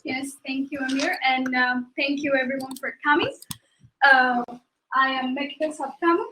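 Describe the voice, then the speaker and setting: A woman's voice: high voice, steady tone, normal speed, background noise. A young woman from Ethiopia giving a prepared technical presentation as a student. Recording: echoey room.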